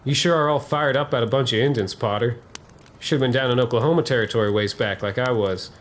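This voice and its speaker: A man playing a seasoned, unsympathetic trooper who follows orders, in a western dramatization. He speaks at a middling pitch with folksy, dismissive phrasing. Faint noise sits behind the voice. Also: quick pace, lightly acted. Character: obedient, unsympathetic veteran cavalry private. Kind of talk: historical dramatization dialogue.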